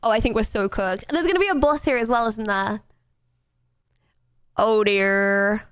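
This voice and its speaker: A young British woman streaming a game, chatting casually with her chat and breaking into playful, excited exclamations. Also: mid-range voice, unhurried, smooth, no room sound, studio quality. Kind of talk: casual gameplay commentary to chat.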